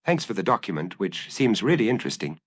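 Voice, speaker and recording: A man voicing a calm, patient, laconic police chief inspector in a detective story. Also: fairly low pitch, fast talker, slightly rough, no echo, studio quality. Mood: polite, measured.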